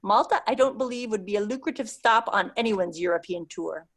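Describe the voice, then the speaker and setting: Woman reading priest in his thirties, measured, discreet, quiet, storybook reading. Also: mid-range voice, natural voice.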